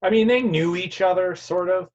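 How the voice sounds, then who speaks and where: An actor playing a fond, watchful, nostalgic parent with a halting manner, in a naturalistic play reading over a video call. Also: male voice, high voice, a little mellow, natural voice. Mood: fond, wistful. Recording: dull, lo-fi video-call sound.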